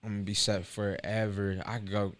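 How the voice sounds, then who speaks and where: A man's voice: lowish voice, little pitch movement, a little fast. A young man in his twenties speaking earnestly in casual slang on a podcast. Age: 20s. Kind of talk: casual chat.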